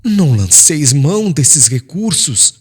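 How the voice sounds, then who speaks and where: Gentle young Hebrew man voiced by a male narrator, respectful and earnest, slightly rough voice, expressive audiobook pleading. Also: on the high side, slow pace, faint music behind, pitched above the performer's own voice, full character voice. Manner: earnest, humble, imploring. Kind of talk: dramatized audiobook reading.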